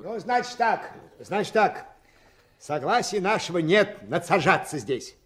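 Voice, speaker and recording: A grumbling, quarrelsome raftsman with a high-pitched voice. He is querulous and sarcastic, in old radio-play style. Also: expressive, unhurried, a bit bright. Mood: indignant, sneering. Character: grumbling, quarrelsome timber worker. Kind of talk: Soviet radio drama acting.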